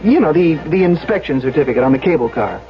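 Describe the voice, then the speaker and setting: An actor playing a conscientious, troubled young engineer in a 1970s radio drama, with a hesitant, stammering delivery. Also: male voice, fairly high pitch, big pitch swings, fast talker, faint music behind. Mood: troubled, reluctant. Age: young adult. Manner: hesitant, stammering, soft-pedalling. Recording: muffled old radio broadcast.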